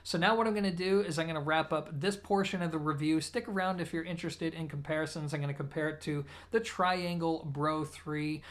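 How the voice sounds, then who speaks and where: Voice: mid-range voice, steady tone, brisk, few pauses. A reviewer presenting an informal product comparison, explaining things conversationally and speaking directly to viewers. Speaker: host of an audio equipment review channel.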